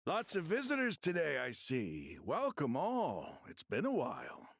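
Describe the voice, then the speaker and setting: A man voicing a chatty, gossipy townsman who welcomes visitors in a video game, with a gravelly voice. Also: on the high side, huge pitch swings, measured pace, fairly bright tone, slight background noise, heavily acted. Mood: sociable.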